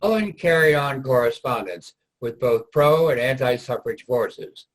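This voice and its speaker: A man with a slightly husky voice narrating a scripted readers' theater piece. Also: medium pitch, lively intonation, measured pace, poor audio.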